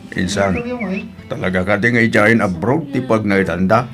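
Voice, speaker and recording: A man's voice: fairly low pitch, normal speed, over music, close to an everyday voice. A man acting a friendly, supportive cousin and neighbour in an Ilocano audio drama, hearty and encouraging. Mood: curious.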